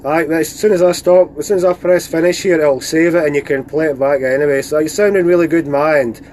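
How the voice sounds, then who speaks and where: A Scottish man talks on CB radio from his van. His voice sits a little high, and his delivery is chatty, peppered with operator jargon. A faint layer of noise runs behind him. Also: steady tone, fairly quick, a little mellow. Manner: chatty, informal, radio procedure phrases. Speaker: radio net operator filming a live stream. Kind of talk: casual CB radio chat. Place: inside a parked van outdoors in the countryside.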